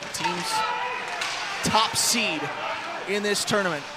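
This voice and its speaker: High-pitched man calling hockey play-by-play. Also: a loud crowd, heavy background noise. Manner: rapid descriptive calls, raised voice on action. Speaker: play-by-play sports announcer. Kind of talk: live radio ice hockey commentary.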